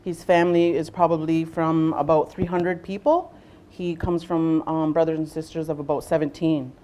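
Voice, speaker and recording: Low-voiced woman presenting without a mic. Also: little pitch movement, normal speed. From Southwestern Ontario, Canada. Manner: loud voice, unamplified, frequent ums and uhs. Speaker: workshop facilitator, nurse navigator. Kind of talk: presentation to a workshop audience. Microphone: no microphone, speaking to a room.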